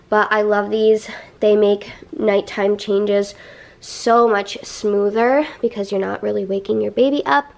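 A young woman with a stuffy, nasal voice from a cold, chatting cheerfully to camera as she shows baby items in her living room. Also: medium pitch. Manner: chatty, upbeat, laughs often. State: congested, nasal. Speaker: vlogger. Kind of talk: casual haul video. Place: living room, seated on the floor. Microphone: camera held at arm's length, then a camera across the room.

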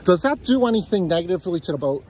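A man's voice: high voice, big pitch swings, rapid-fire, darkish tone, a little hiss or hum. Upbeat man filming outdoors on a phone. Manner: enthusiastic, asks leading questions. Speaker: sailing vlogger interviewing a guest. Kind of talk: casual outdoor interview. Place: outdoors on a grassy park by a lake. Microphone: handheld phone at arm's length.

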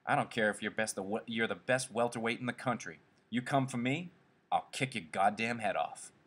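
A man voicing a brash, aggressive ranch hand who taunts in rough rural speech. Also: lowish voice, fairly quick, a bit bright. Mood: taunting.

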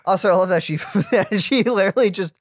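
A young man giving casual reaction commentary, animated and often searching for words. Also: sits high, brisk, no echo, clean studio sound. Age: young adult. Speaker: reaction channel host. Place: small room at home. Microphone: boom-arm microphone, close.

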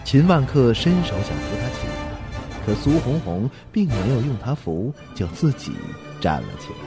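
A man narrating a suspense radio drama in an expressive storytelling style. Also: medium pitch, moderate pace, music in the background.

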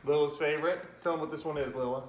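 A man's voice: fairly high pitch, fairly even intonation, fast. A man in his forties, a fishing channel host, chatting playfully and joking with his kids while unboxing at a table indoors. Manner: cheerful, joking, laughs often. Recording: echoey room with background noise.

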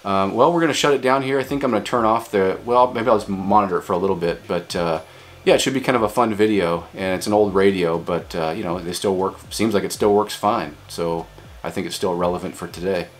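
A man's voice: sits low, fairly quick. An American man in his forties giving a casual demonstration and chatting as an amateur radio hobbyist. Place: small home office indoors.

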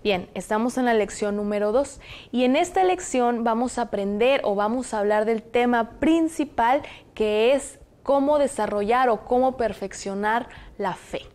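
A young woman from Zacatecas with a Mexican Spanish accent, hosting a studio discussion on a lapel mic in a TV studio, speaking in a measured, explanatory way. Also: on the high side, darkish tone. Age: young adult. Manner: measured, explanatory, reads passages aloud. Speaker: host. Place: television studio, indoors.

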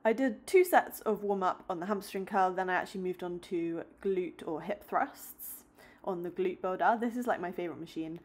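A young woman in her twenties talks casually to her followers. Her voice sits a little low for a woman, upbeat and chatty when close to a phone, then steady and explanatory as she walks through her training. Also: moderate pace. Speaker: fitness vlogger. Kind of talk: casual vlog chat and workout voice-over. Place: indoors at home, close to a phone camera.

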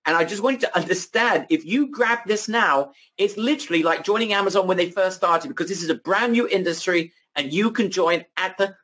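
A man with a high, bright voice runs a sales webinar, driving every point home and calling the audience "guys." His tone is husky and hoarse, as if he is losing his voice. He repeats his claims for emphasis. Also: fairly quick, few pauses. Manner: emphatic, repetitive hype, addresses audience as guys. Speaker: webinar presenter.

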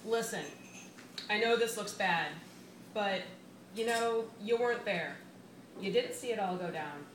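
A woman's voice: mid-range voice, measured pace, natural voice. A woman reading a short story live as a blunt, defensive female teacher giving a police statement, conversational, wry and impatient. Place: small bar reading room, indoors. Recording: roomy, noisy bar on event microphone.

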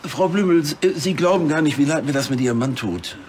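A man's voice: a little high, fast talker, a little hiss or hum, natural voice. A man playing a flustered, evasive policeman in a TV crime comedy, stammering and ingratiating himself awkwardly. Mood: obsequious sympathy. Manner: stammering, hesitant, obsequious.

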